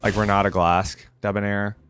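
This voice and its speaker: A man's voice: on the low side, flat delivery, normal speed, a bit bright, a little hiss or hum. A man hosting a reaction video, giving informal commentary to viewers and thinking aloud as he goes.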